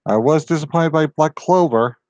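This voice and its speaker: A man's voice: a little high, moderate pace, darkish tone, no room sound. A man hosting his own channel, talking through rambling tangents with exasperated complaints. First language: not Japanese. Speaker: video host. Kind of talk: casual solo commentary.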